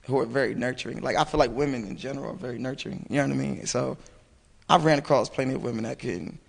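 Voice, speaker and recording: A hoarse young man talking loosely on a panel, rambling with lots of fillers. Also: mid-range voice, fairly even intonation, fast talker. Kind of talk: casual panel discussion. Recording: long reverb, faint noise.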